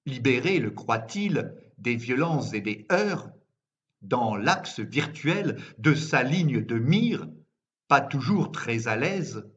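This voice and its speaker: A man reciting poetry in a solemn, declamatory manner, phrasing deliberately with pauses, as a poet reading his own work. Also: mid-range voice, fairly bright tone, highs cut off. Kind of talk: dramatic poetry recitation in French.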